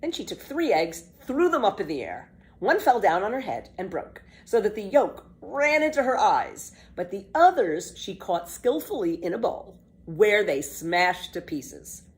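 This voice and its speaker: Animated woman reading a children's story. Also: mid-range voice. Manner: expressive, measured storytelling. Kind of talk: scripted children's book narration.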